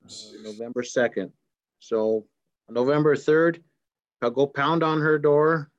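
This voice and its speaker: A man's voice: a little high, steady tone, unhurried, no echo. A man chairing a county meeting, easygoing and rambling as he moves the business along. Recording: dull, dry close sound.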